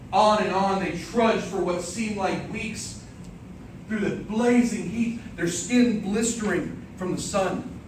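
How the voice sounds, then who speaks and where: A man delivering a theatrical speech as an epic tale, with dramatic, declamatory and deliberate phrasing. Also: high-pitched. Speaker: speech contest speaker. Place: indoor room before an audience. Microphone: distant microphone. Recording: roomy, distant microphone, clearly noisy background.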